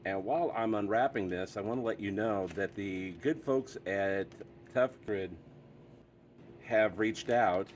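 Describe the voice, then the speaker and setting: A man's voice: lowish voice, a little slow, slight background noise. A man hosting a casual product review, talking in an easygoing, chatty way with frequent fillers.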